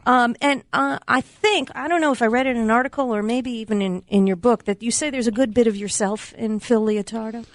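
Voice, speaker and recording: A woman hosting a radio talk show, brisk and chatty as she takes calls and talks to a guest. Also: fairly high pitch, no room sound, studio quality. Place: radio studio.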